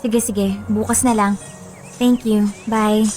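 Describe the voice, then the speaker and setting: A woman playing a sweet, anxious young bride-to-be in radio drama dialogue, girlish and expressive. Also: mid-range voice, slow pace, lightly acted. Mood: uneasy. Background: music bed.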